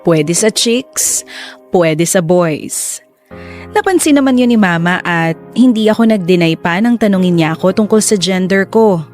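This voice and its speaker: A man's voice: high-pitched, a little slow, darkish tone, faint music behind. A man playing a reflective twenty-eight-year-old man who narrates his love story in a Tagalog radio drama. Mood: reflective, confessional.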